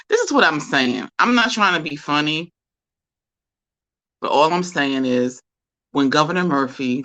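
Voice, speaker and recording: An American host with a slightly raspy voice, a bit under the weather, speaking informally and deliberately in a solo livestream commentary. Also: mid-range voice, a bit bright, highs cut off. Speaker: host of a community talk show.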